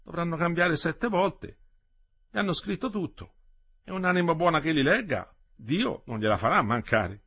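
A man's voice: expressive, normal speed, a bit bright, slightly rough, full character voice. A man voicing an anxious, scolding old peasant grandmother in a read-aloud story. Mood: fretful. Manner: fretful, scolding, raised pitch.

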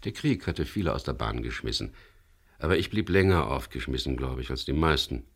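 An actor playing a wry, world-weary middle-aged English skipper who narrates a radio crime drama in a gravelly, laconic voice. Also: male voice, rumbling low, animated, brisk, full character voice. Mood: wry, reflective. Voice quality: gravelly, husky. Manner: laconic, dry, ironic.

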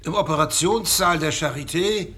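A man's voice: fairly high pitch, moderate pace, sharp tone. An actor in a radio drama playing an earnest, bewildered professional man who speaks hesitantly.

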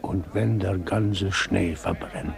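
An actor playing a stubborn, devout old weaver in a radio drama, solemn and unyielding. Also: male voice, low-pitched, fairly even intonation, slow, gravelly, very noisy, exaggerated voice. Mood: defiant. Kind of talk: German radio drama acting.

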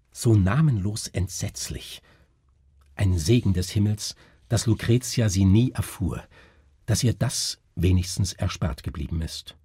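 Man playing a brooding male doctor, haunted by horrors, raspy dark voice, halting intense delivery, gothic storybook. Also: on the low side, dry recording, clean studio sound, heavily acted. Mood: horrified, shaken.

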